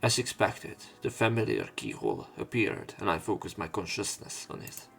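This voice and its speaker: A man's voice: deep voice, huge pitch swings, normal speed, gravelly, loud music behind the voice. A man reading visual novel text aloud as dramatic narration for a let's play.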